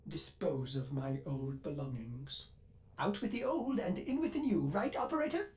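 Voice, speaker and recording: An actor playing an eager, fussy ship computer servant in a video game, polite and excitable, with a synthetic robot effect. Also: male voice, on the high side, normal speed, slight background noise. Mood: eager, flustered. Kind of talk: scripted video game acting.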